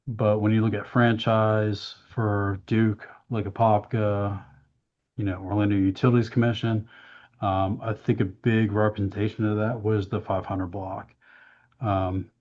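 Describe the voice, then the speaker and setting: Lowish hoarse guy walking a council thru the budget, lots of ums, muffled dull audio. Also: darkish tone. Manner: hesitant, many "um"s, explains plainly. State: losing his voice. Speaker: town staff presenting the budget. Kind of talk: budget presentation at a council workshop.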